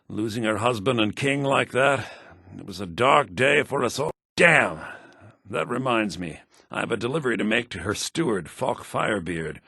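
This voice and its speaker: Sly fantasy game townsman, raspy, animated intonation, wry and conniving. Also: mid-range voice, dry recording, studio quality, full character voice. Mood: wry, grumbling. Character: sly, cynical adult male townsman and schemer. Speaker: fantasy video game character. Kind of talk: scripted game dialogue.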